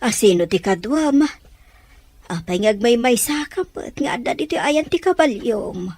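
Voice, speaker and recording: Radio-drama wife and mother, played by a woman: anxious, caring, somewhat high-pitched, no room echo. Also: lively intonation, normal speed, darkish tone. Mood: questioning. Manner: anxious, questioning. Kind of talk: Filipino radio-style drama acting.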